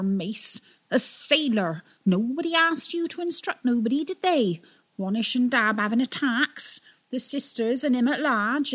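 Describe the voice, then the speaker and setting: A woman voicing an old male tug-boat captain who talks in a rustic dialect, loud and scolding. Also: medium pitch, slightly rough, pitched above the performer's own voice.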